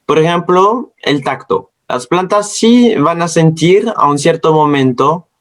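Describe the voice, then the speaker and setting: A man with a non-native accent, speaking a second language as a student presenting an educational narration in deliberate, slightly halting phrases. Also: on the high side. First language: not Spanish, speaks it as a second language.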